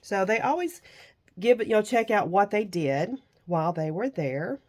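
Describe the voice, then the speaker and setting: A woman hosting a crafting vlog, talking casually as she shows her handmade journal and layouts, her voice a bit nasal and rough from a cold. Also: mid-range voice, animated, unhurried. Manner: warm, gushing about handmade crafts. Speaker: crafting vlogger. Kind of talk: casual show-and-tell crafting chat.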